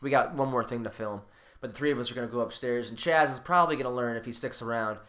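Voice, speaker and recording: A man's voice: mid-range voice, quick pace, a bit bright. A man co-hosting a podcast, talking in a casual, informal and joking way. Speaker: podcast co-host.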